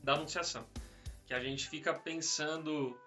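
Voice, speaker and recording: A man's voice: a little high, steady tone, normal speed, sharp tone. A Brazilian man co-hosting a podcast, discussing religion casually in an informal, slangy way, repeating phrases for emphasis. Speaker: podcast co-host. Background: music under the voice.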